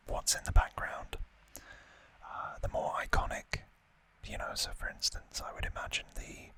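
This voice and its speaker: A man whispering in a hushed, deliberate manner, as an ASMR host chatting through an unboxing. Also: rough recording.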